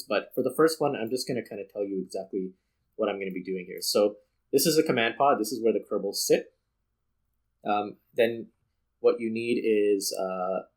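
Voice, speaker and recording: A man's voice: fairly low pitch, poor audio. A man hosting a gaming video, giving casual unscripted commentary and explaining things directly to the viewers.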